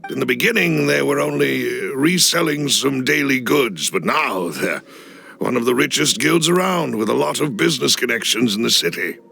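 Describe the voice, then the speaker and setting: A man playing a loyal, cautious butler in a video game cutscene, speaking in a formal, measured, deferential way with a gravelly voice. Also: mid-range voice, animated, bright, forceful tone, full character voice. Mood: measured, analytical. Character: loyal, cautious male butler of a crime boss family. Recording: clean studio voice over music. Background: background music.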